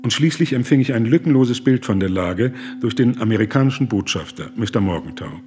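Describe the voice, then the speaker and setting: An actor playing an earnest, indignant German humanitarian, speaking formally, deliberately and with moral urgency in a radio play. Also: male voice, mid-range voice, animated, darkish tone, slight background noise, lightly acted. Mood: firm rebuttal.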